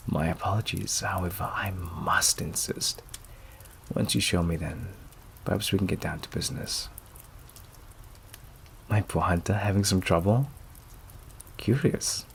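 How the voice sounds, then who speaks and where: A suave male vampire played by a man for an ASMR roleplay, speaking very low with a gravelly fry. He is possessive and courteous at once, teasing and menacing in silky tones that often sink to whispers. Noisy rain and fireplace ambience runs behind him. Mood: possessive, insistent, then mocking, tender. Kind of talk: intimate ASMR roleplay acting. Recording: clear background noise and ambience.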